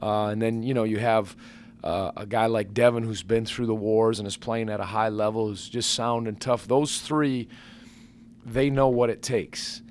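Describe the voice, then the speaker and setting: A man in his forties, a basketball head coach answering questions at a postgame press conference into a table microphone, measured and earnest with many fillers and restarts. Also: on the low side, fairly even intonation, darkish tone, slight background noise. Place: press conference room with sponsor backdrop.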